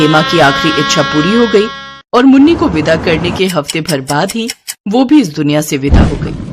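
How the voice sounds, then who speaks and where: A woman's voice: mid-range voice, noisy background. A woman narrating a scripted moral story for a cartoon.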